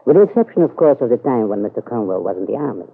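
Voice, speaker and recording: A man playing a discreet manservant in an old-time radio soap, formal, measured and tactfully evasive. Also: medium pitch, big pitch swings, creaky, breathy edge, exaggerated voice. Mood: guarded, tactful. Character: discreet, deferential gentleman's manservant, evasive and gallant. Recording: tinny, noisy old radio broadcast.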